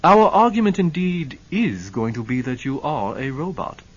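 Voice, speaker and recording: Guy doing a calm smooth lawyer type, husky, muffled lo-fi audio. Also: fairly high pitch, voice pushed up. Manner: measured, composed.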